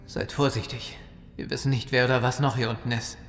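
An actor plays a cautious, practical man surviving a zombie apocalypse in a radio play. His medium-pitched voice rings with strong echo, with faint music behind. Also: little pitch movement, a little fast, close to an everyday voice. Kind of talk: audio drama acting. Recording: echoey hall reverb.

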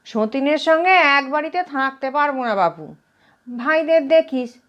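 A woman voicing the bossy, fretful ghost of a dead mother with a nasal, drawn-out delivery. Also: high voice, expressive, muffled recording, pitched above the performer's own voice. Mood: aggrieved.

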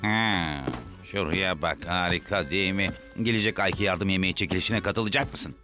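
An actor voicing a smug, mischievous freeloading wolf with a sardonic cartoon delivery. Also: male voice, sits low, fairly quick, lightly acted. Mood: nonchalant. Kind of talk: Turkish-dubbed cartoon acting. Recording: music behind the voice.

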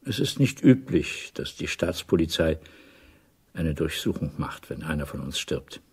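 An actor playing a guarded middle-aged intelligence officer in a 1980s radio play, measured, probing and quietly insistent. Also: male voice, extremely low pitch, big pitch swings, breathy edge, heavily acted.

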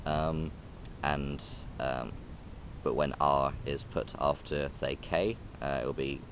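A man's voice: a bass voice, fairly even intonation, slow pace, fairly bright tone, a little hiss or hum. A young man vlogging outdoors, hesitant and rambling with many ums and restarts. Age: young adult. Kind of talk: casual rambling vlog. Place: outdoors in a park by a railway line. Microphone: phone or camera held close.

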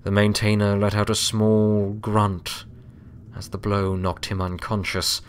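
A man's voice: on the low side, completely flat delivery, a little slow, slight background noise. A man reading a scripted story as an audiobook narrator. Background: music.

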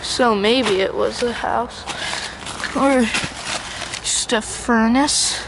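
A vlogger narrating a casual walk-through outdoors in a halting, unscripted way, picked up by a handheld camera. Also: medium pitch. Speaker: vlogger exploring ruins. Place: outdoors in woods by a river and bridge. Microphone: handheld camera mic, operator behind camera. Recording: noisy outdoor camera audio. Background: ambient outdoor noise, passing vehicles.

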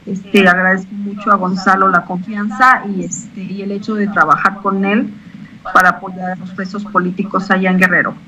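Woman, artist guest, bright voice, humble, halting, video call. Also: medium pitch, level tone, poor audio. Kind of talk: conversational interview answer. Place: small room with window, on video call. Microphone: computer video call.

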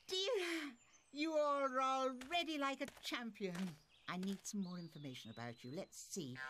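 A woman voicing an effusive, bossy puppet competition organiser with drawn-out scripted lines. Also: fairly high pitch, a bit bright, exaggerated voice. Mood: effusive, businesslike. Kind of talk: scripted educational puppet dialogue. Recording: faint noise behind dialogue.

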